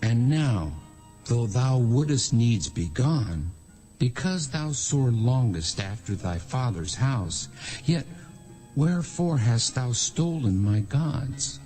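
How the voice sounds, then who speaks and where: An actor playing a stern, possessive patriarch in a dramatized scripture reading, solemn and declamatory. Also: male voice, mid-range voice, animated, takes it slowly, warm tone, faint music behind, poor audio. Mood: accusing. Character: stern, possessive patriarch, a father-in-law accusing and warning.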